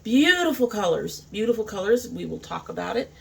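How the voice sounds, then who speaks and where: Chatty woman with a creaky voice. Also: mid-range voice, sing-song, brisk, slight background noise. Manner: informal, chatty, thinking aloud. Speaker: beauty vlogger, host. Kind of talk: casual makeup review, chatting to camera.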